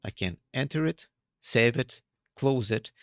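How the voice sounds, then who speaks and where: Gravelly man with a Bulgarian-tinged delivery. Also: mid-range voice, measured pace, no echo, studio quality. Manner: relaxed step-by-step demonstrating, slightly non-native phrasing. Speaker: software tutorial presenter. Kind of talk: screen-recorded software tutorial.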